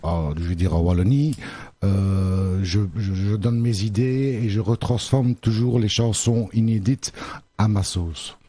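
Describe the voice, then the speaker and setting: A man's voice: lowish voice, a little slow, dark tone, no room sound. A middle-aged man, a guest singer on a radio show, friendly and a bit hesitant, often repeating words. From Belgium, born in Sicily. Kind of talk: radio interview. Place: local radio studio.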